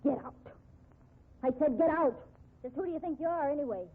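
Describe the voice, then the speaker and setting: An actress playing strong-willed women of a detective story in an emphatic 1950s radio drama style, with a husky voice on an old muffled recording. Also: female voice, sits high, brisk, natural voice. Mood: bitter, defiant. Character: women in a detective story: cajoling girlfriend, bitter rescued patient.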